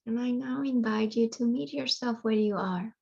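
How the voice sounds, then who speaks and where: A woman guiding a yoga nidra meditation in a soothing way, leaving long pauses between phrases. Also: fairly high pitch, vocal fry, warm tone, breathy edge, muffled recording. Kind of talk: guided meditation.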